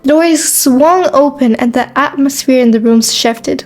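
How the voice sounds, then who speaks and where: Woman playing a high-pitched, wry teenage girl. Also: measured pace, soft background music. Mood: self-conscious, wry. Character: shy but sarcastic teenage girl, new at school. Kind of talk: scripted first-person story narration with acted dialogue.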